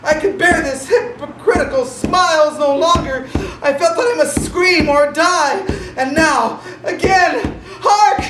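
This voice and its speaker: A man's voice: very high voice, measured pace, few pauses, voice pushed up. A man playing a mad murderer in a gothic horror reading, delivered in an emphatic, theatrical, melodramatic way. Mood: screaming hysteria. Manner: melodramatic, emphatic, swinging between hushed and frantic. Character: mad male murderer, smugly confessing, then unravelling into hysteria. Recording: roomy, distant live recording with noise.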